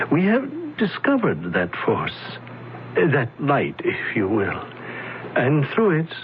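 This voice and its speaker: An actor playing a calm, solemn male alien envoy in a radio drama, speaking slowly and formally. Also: mid-range voice, creaky, a little hiss or hum. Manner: slow, measured, formal. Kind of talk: 1970s radio drama acting. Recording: dull lo-fi old radio broadcast.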